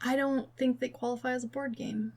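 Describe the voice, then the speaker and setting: High-voiced woman in casual chat. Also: fairly even intonation, a little fast, darkish tone. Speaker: podcast co-host. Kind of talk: casual chat about movies and games.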